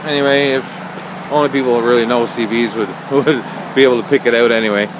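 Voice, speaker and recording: A man giving a casual, unscripted walkthrough as an amateur vlogger, speaking haltingly with frequent pauses. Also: mid-range voice, steady tone. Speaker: amateur vlogger showing an installation. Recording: clear car and outdoor background noise.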